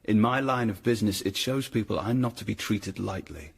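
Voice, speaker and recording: An actor playing a tough, proud Tudor hired ruffian in a radio drama, with a rough, husky voice and blunt, clipped delivery. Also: male voice, lowish voice, brisk. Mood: proud, challenging. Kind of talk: period radio drama acting.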